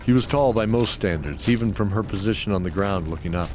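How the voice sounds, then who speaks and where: A man's voice: fairly low pitch, a little fast, a little mellow, a little hiss or hum. A man reading scripted fantasy storytelling narration for an audio drama. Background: music.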